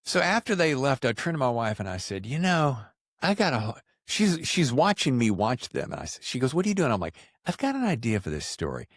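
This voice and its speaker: A man's voice: medium pitch, huge pitch swings, brisk, no room sound, clean studio sound. A man hosting a casual interview, telling stories in a folksy, informal way. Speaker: podcast host. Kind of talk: casual conversational interviewing, storytelling.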